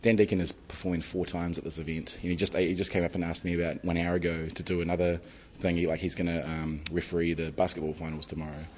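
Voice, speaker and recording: A man's voice: deep voice, level tone, quick pace, hardly any pauses, darkish tone, slight background noise. A man organising a music festival, talking in a rambling, enthusiastic way with lots of fillers. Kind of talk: casual interview.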